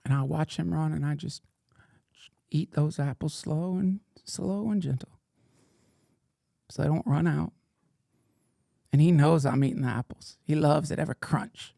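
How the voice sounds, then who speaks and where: Man, middle-aged, host, comic mock outrage, whisper-to-shout swings, slightly high voice, gravelly, dry close mic. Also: a little slow, long pauses, no room sound. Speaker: podcast host reading chat questions. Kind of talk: comedic livestream banter in a mock persona.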